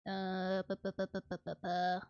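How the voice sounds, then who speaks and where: A woman's voice: medium pitch, completely flat delivery, fairly quick, a little mellow, clean tone, dull audio. A woman livestreaming a game in casual chat, playful and prone to muttering little sound words to herself. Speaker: game streamer. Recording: music playing behind the voice. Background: music.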